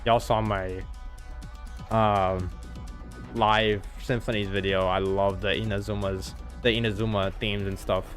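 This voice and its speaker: Male reaction host, low voice, casual and excitable, faint music underneath. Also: a little slow. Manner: excitable, chatty, hums along to music. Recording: music playing in background.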